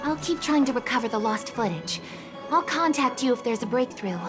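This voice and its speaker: A woman voices a cautious technician in a video game, polite and measured. Her voice sits a little high. Music plays under the scene. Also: expressive, close to an everyday voice. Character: careful woman technician recovering footage. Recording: game cutscene with music behind.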